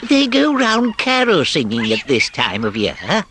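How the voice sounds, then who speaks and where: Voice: medium pitch, wildly swooping pitch, a little slow, a bit bright, pitched above the performer's own voice. A storyteller voicing a timid, homesick male mole who talks in a plaintive, fretful way. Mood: wistful. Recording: noisy background hiss.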